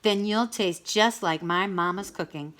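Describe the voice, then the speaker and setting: A woman's voice: mid-range voice, unhurried, a bit bright, close to an everyday voice. A woman voicing a sly, hungry male wolf in a storybook, with theatrical, sing-song gloating.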